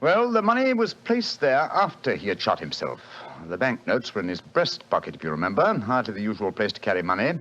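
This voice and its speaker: An actor playing a confident, dryly witty detective in a 1940s radio drama, with muffled old broadcast sound. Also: male voice, on the low side, sing-song, a little fast, few pauses, a bit bright. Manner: crisp, wry, confident. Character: brisk, dryly witty detective, middle-aged man.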